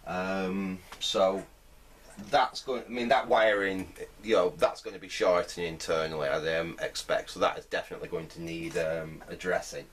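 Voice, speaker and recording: A man's voice: deep voice, fairly even intonation, moderate pace, slight background noise. A middle-aged man gives casual hands-on repair commentary, hesitantly thinking aloud as he works at an indoor bench. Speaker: vintage radio restorer, presenter. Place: indoor workbench.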